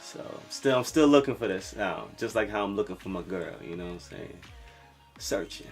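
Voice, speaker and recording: A young man from Brooklyn, New York, a singer-songwriter talking casually about his music with a soft voice and lots of "you know"s. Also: low-pitched, moderate pace. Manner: relaxed, rambling, lots of fillers like "you know".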